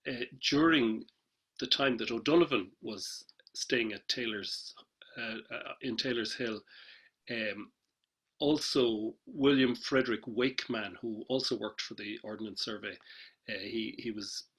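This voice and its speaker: Guy on a video call, husky and bright, lots of ums and uhs. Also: mid-range voice, a little slow. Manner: hesitant, many fillers and restarts. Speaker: panellist, traditional musician. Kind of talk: casual webinar talk.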